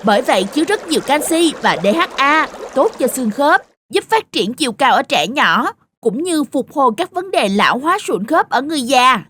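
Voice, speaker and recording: A knowledgeable young cartoon explainer. The voice is high and animated, helpful and instructive, over faint background noise. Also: female voice, normal speed, few pauses. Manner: instructive, expressive. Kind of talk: children's cartoon dubbing, scripted explanation.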